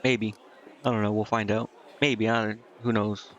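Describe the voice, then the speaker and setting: A man's voice: lowish voice, little pitch movement, normal speed, a bit bright, lo-fi sound, a faint crowd. A man giving casual, off-the-cuff gameplay commentary as a streamer, slangy and irreverent. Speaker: video game streamer.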